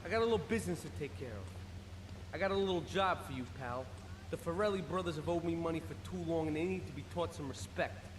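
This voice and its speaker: An actor playing a cocky young American mobster in a game cutscene, casually handing out criminal jobs in slang. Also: male voice, on the high side, quick pace, close to an everyday voice. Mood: cocky, businesslike. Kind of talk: video game cutscene acting. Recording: long hall reverb, faint background noise.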